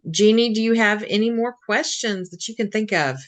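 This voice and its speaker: A woman's voice: mid-range voice, normal speed. A woman who is an educator co-hosting an interview, reacting with eager, encouraging enthusiasm. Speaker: co-host, educator. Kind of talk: casual interview chat.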